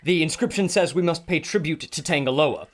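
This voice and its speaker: A man's voice: fairly high pitch, a little fast, natural voice. An actor playing a scholarly male archaeologist who explains things in a learned way. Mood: explaining.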